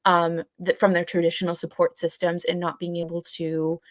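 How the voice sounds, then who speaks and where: A woman's voice: fairly low pitch, monotone, normal speed, no room sound, clean studio sound. A woman co-hosting a podcast, explaining points in casual discussion and often rewording them as she goes. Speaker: live podcast co-host. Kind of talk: casual explanatory discussion going through a list.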